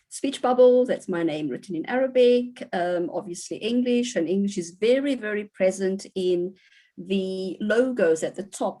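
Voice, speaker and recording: A woman's voice: medium pitch, mellow. Chatty woman lecturing online. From South Africa. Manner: chatty, many fillers, reads out audience answers. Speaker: university lecturer.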